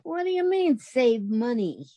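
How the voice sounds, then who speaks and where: A woman's voice: on the high side, sing-song, a little slow, mellow, no room sound. A woman acting in an old-time radio comedy as a sensible, theatrically exasperated young working woman with a wry manner.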